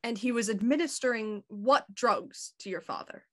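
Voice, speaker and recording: Woman as a measured female inspector, fairly high voice. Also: fairly bright tone. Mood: courteous, probing. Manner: measured, formal questioning. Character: probing, courteous female police inspector. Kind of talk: audio drama acting.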